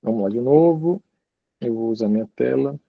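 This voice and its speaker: A man giving an informal university lecture over a video call, chatty and relaxed, often ending phrases with little checking tags. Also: mid-range voice, moderate pace, gravelly, no echo, phone-quality sound. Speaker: guest lecturer.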